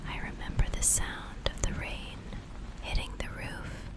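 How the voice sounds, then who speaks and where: A woman playing an obsessive, tender private investigator in an ASMR roleplay, speaking in breathy, soothing, intimate reassurance. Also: lightly acted. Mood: tender, possessive. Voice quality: breathy, unvoiced whisper. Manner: slow, hushed, soothing reassurance.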